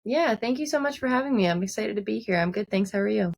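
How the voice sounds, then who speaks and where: A woman from Newfoundland with a softened Newfoundland accent, a singer-songwriter chatting casually as an interview guest, full of "like" and "I mean". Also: medium pitch, expressive, quick pace, gravelly, dry recording. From Newfoundland, Canada. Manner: chatty, uses many likes and I means.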